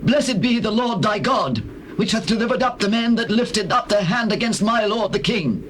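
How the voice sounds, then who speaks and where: Casting: eager young runner, high lively voice, earnest and formal, scripture drama. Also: male voice, normal speed. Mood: earnest reporting. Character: eager, pleading young male messenger. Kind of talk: dramatized scripture, stage-classical acting. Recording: noisy old cassette tape.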